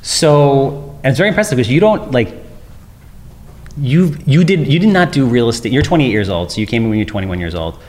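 A man's voice: mid-range voice, animated, a little fast, a little mellow, big-room sound. A man hosting a podcast, chatting casually and upbeat, heaping playful compliments on his guest. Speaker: podcast host. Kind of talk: casual interview chat.

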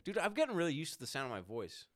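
A man's voice: on the high side, huge pitch swings, racing. A man co-hosting a podcast and running its recording, joking, laughing often and swearing in casual chat. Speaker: podcast co-host who runs the recording.

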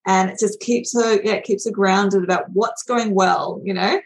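A woman's voice: medium pitch, steady tone, moderate pace, rough recording. Affirming woman podcast host. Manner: warm, affirming, chatty with fillers. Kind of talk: podcast interview.

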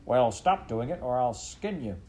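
A man voicing a gruff male farmer who scolds in rustic country dialect. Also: medium pitch, lively intonation, normal speed, warm tone, a little hiss or hum, close to an everyday voice. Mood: scolding.

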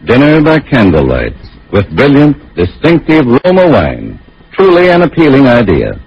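A male announcer delivers a 1940s radio commercial. His voice is very raspy and highly animated, with polished emphasis, on a dull vintage recording. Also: normal speed. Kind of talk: 1940s radio advertisement reading.

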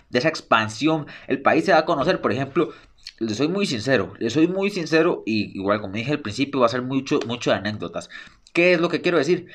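Young guy doing a solo sports podcast, mid pitch, gets fired up and repeats himself for emphasis. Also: a bit bright. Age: young adult. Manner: eager and emphatic, repeats phrases for stress. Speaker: podcast host.

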